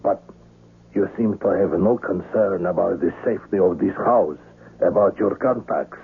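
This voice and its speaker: Husky, courteous underworld host. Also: male voice, deep voice, exaggerated voice. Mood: suave, probing. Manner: formal, courteous, measured speech. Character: suave, wary, courteous older male underworld host named Messina. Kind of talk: 1970s radio drama acting. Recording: muffled, tinny vintage radio recording.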